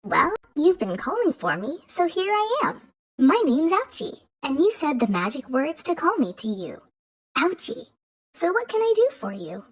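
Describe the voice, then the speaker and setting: A woman's voice: high voice, very animated, normal speed, voice pushed up. A woman voicing a tiny, cheerful magical girl with a sweet, small voice who talks frankly and kindly. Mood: reassuring. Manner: chirpy and reassuring.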